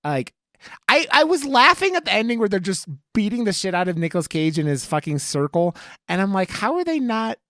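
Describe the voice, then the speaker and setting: A man chatting casually as a podcast co-host, rambling and excitable, with free swearing. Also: high-pitched, brisk, no room sound, studio quality. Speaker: podcast co-host reviewing horror movies. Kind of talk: casual chat.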